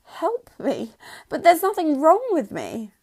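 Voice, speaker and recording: A woman's voice: high voice, huge pitch swings, takes it slowly, darkish tone, faint music behind, heavily acted. A woman playing a frightened, indignant young heiress in an old-time radio melodrama, theatrical and pleading. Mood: alarmed, indignant.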